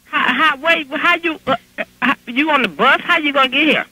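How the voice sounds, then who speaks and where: A woman's voice: high-pitched, a little fast, sharp tone, dry recording. A woman working at a grocery store, giving brief, matter-of-fact answers on the phone. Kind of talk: customer service phone call. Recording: dry telephone line.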